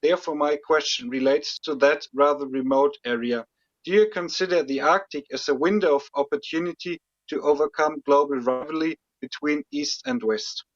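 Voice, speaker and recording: A man's voice: on the high side, normal speed, dry recording. Young man from Germany, dry lo-fi recording. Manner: formal, steady, prepared. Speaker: young diplomat asking a question. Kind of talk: recorded question.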